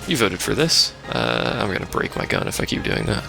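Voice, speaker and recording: A man's voice: lowish voice, wildly swooping pitch, fairly quick, creaky. A man giving casual, rambling live game commentary as a let's play host, with wry asides. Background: video game music.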